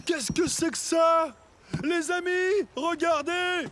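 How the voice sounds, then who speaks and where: A woman's voice: very high voice, steady tone, slow, noisy background. A woman voicing a bold, mischievous young adventurer in a children's cartoon, breezy and exclamatory. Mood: carefree. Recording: music behind the voice.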